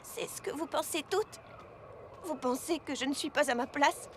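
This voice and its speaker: A woman voicing an earnest, expressive young pony princess who is unsure of herself, in a French cartoon dub. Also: high-pitched, moderate pace, slight background noise, close to an everyday voice. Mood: hurt.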